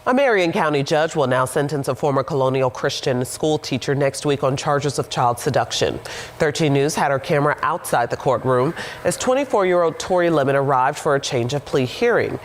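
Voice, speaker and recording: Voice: medium pitch, expressive, brisk, slight background noise. A television news reporter reading a scripted report in formal broadcast narration.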